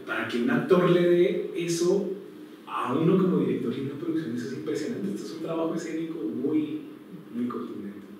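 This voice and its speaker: A man's voice: fairly high pitch, darkish tone, slight background noise. A man giving a public lecture, thoughtful and full of fillers and restarts. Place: hall with strong echo. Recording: echoey hall from far off.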